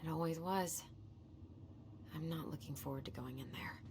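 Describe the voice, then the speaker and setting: A woman acting in a video game as a practical, playful young American woman, speaking in a conversational, gently coaxing way. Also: sits low, normal speed. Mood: concerned, hesitant. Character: practical, playful young woman, supportive sibling and puzzle lover. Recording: noisy streamed game audio.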